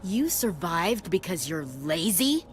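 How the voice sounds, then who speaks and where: An actress playing an indignant, alert soldier in a video game, speaking in a sharp, accusing manner. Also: female voice, fairly low pitch, sing-song, takes it slowly, noisy background. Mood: disgusted, angry. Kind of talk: video game dialogue acting.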